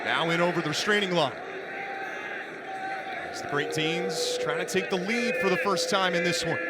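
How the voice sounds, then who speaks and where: A male play-by-play announcer with a high-pitched voice calls a live game in punchy, excitable phrases. Loud venue noise surrounds him throughout. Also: moderate pace. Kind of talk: live sports play-by-play commentary. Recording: loud arena noise behind commentary.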